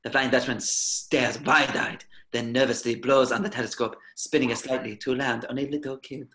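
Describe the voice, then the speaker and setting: A man's voice: medium pitch, steady tone, moderate pace, sharp tone. A man reading stage directions as scripted narration at a table reading.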